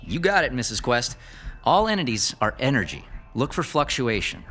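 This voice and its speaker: A man's voice: medium pitch, big pitch swings, normal speed, sharp tone, slight background noise. An actor playing a flippant young ghost-hunting tech guy with a casual, wisecracking manner in a radio horror drama. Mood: upbeat, businesslike. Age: young adult.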